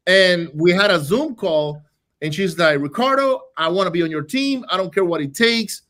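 A man from Venezuela, a business owner, talking casually in an interview, quick and animated, often restarting and repeating words. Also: sits high. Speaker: real estate wholesaling business owner.

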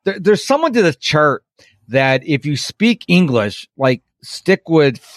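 A man's voice: on the high side, very animated, slow pace, dry recording, studio quality. Chatty middle-aged man hosting a podcast. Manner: chatty, self-deprecating, tells personal stories. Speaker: podcast host. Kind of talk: casual interview chat.

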